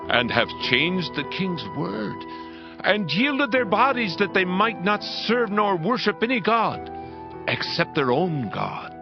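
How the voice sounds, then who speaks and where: Casting: proud Babylonian king, grand theatrical proclaimer, higher-pitched, widely swooping delivery. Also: very animated, slow, vocal fry, full character voice. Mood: fervent, decreeing. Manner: grand, declamatory, solemn proclaiming. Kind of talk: dramatized scripture reading, theatrical acting. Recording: dull band-limited audio under loud music. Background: loud dramatic music.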